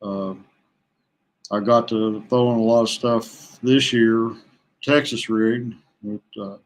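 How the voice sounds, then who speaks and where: A man from West Virginia chatting casually as a podcast guest, plain-spoken and halting, in no hurry. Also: on the low side, steady tone, slight background noise. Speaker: podcast guest, veteran kayak angler. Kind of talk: casual chat.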